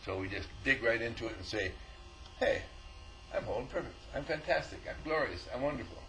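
Man, spiritual teacher, animated storyteller, mid-pitched voice, faint background hiss. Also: brisk, poor audio. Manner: animated storyteller, acts out dialogue, chuckles often. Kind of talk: informal spiritual talk.

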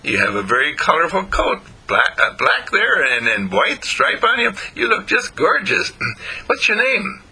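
Man voicing a bright, lovable puppy dog, lively pitch. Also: unhurried, slightly rough, exaggerated voice. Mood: admiring, curious. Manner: gentle, affectionate, earnest. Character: lovable, friendly male puppy dog, generous and trusting.

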